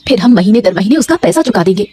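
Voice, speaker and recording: A woman's voice: medium pitch, animated, fast talker, mellow, slightly rough. A woman voicing a supportive, hopeful village wife in a dramatic Hindi cartoon story. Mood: reassuring.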